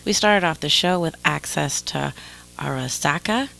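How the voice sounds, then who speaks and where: A woman hosting a radio show while sick, her voice scratchy, hoarse and stuffed up, speaking low-key and sometimes dropping to a whisper. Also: a little slow, pushed and bright. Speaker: radio DJ host.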